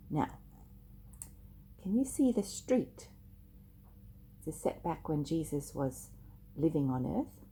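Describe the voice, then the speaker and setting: An older woman reading a picture book aloud expressively and deliberately, speaking directly to young listeners. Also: sits low, vocal fry, mellow, slight background noise. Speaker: storyteller.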